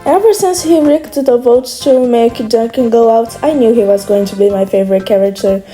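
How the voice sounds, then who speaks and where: A woman's voice: fairly high pitch, normal speed, warm tone, soft background music, poor audio. A young woman from Brazil whose first language is Portuguese, chatting casually in a rambling, hesitant way with many restarts. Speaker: video creator. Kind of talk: casual monologue.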